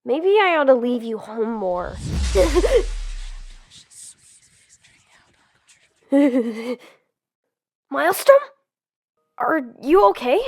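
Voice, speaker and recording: A woman's voice: high-pitched, big pitch swings, very slow, pauses often. A young woman voicing a nervous, upbeat lead in a comic dub, with lots of fillers.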